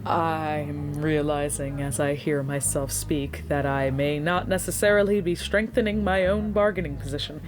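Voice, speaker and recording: A woman's voice: low voice, fairly even intonation, moderate pace, hardly any pauses, over music, natural voice. An actress playing an earnest, anxious woman in an audio drama, speaking in formal, wordy sentences with careful diction.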